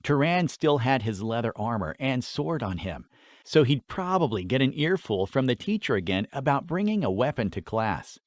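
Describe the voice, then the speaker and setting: Guy narrating a fantasy audiobook, really animated pitch, dry studio sound. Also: normal speed, no echo. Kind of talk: scripted fantasy story narration.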